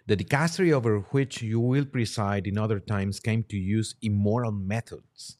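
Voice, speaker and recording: A man speaking as a priest and podcast guest, halting as he discusses religion and poses questions. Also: fairly low pitch, a little mellow.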